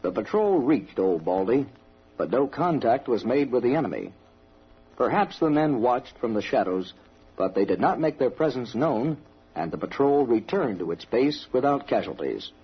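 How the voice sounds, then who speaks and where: A man narrating a radio documentary from a script in a solemn, measured manner. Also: mid-range voice, big pitch swings, husky. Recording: dull vintage radio broadcast recording.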